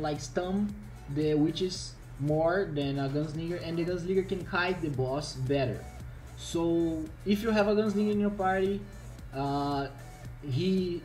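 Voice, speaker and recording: A man's voice: fairly high pitch, darkish tone. A man from Brazil with a Brazilian Portuguese accent gives a casual game tutorial, hesitantly rephrasing as he goes. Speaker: gaming video creator.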